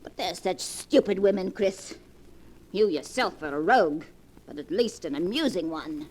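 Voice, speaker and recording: An actress playing a rich, sharp-tongued old grandmother with a dry, haughty, cutting delivery in 1940s radio drama style. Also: lowish voice, animated, moderate pace, rough recording. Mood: sly, amused. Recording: vintage 1940s radio recording.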